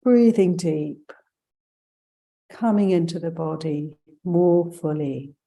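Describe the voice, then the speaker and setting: A woman leading a guided meditation as a teacher, giving gentle, unhurried instructions with pauses. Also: lowish voice, gravelly.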